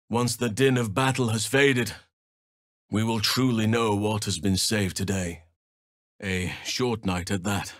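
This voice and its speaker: A man voicing a noble, gentle-mannered archdruid in a fantasy video game, speaking in a measured, earnest, formal way. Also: on the low side, no echo, clean studio sound. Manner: measured, earnest, formal, old-fashioned phrasing.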